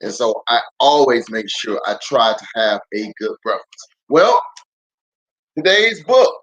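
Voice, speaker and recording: A man speaking as a pastor hosting a children's storytime, unhurried and animated, chatting with viewers and drawing out words for emphasis. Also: medium pitch, dull audio. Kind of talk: livestream greeting and read-aloud storytelling.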